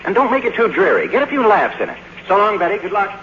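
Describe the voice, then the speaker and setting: An actor playing a cynical, world-weary young Hollywood screenwriter in a radio noir drama, wry and laconic. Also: male voice, high voice, wildly swooping pitch, fast talker, a little hiss or hum. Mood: brusque. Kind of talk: 1950s radio drama acting and noir narration. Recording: dull old radio broadcast recording.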